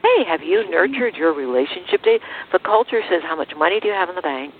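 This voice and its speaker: A woman hosting an interview show in a conversational style, with emphatic, deliberate phrasing, over a narrow radio-like connection. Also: lowish voice, lively intonation. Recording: narrow radio-like sound, faint noise.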